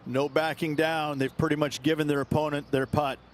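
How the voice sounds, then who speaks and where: A man's voice: a little high, flat delivery, fairly quick, a little hiss or hum, close to an everyday voice. A man playing a folksy, enthusiastic golf analyst in video game commentary, with colloquial, punchy phrasing. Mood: eager. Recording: music under the voice. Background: music.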